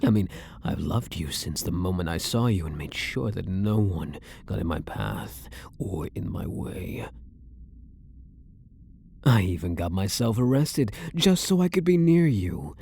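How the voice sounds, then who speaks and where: A man's voice: low-pitched, big pitch swings, creaky, a little mellow, a little hiss or hum, heavily acted. A man voicing a possessive, coy elf prisoner in an intimate ASMR roleplay, teasing and devoted, sometimes dropping toward a whisper. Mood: obsessive, adoring. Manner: teasing, slow, lilting delivery dropping into whispers.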